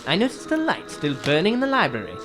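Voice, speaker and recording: A woman playing a shrewd, composed English gentleman detective in a radio drama, telling his case in formal, measured phrases. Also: on the low side, huge pitch swings, over music. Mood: candid, matter-of-fact.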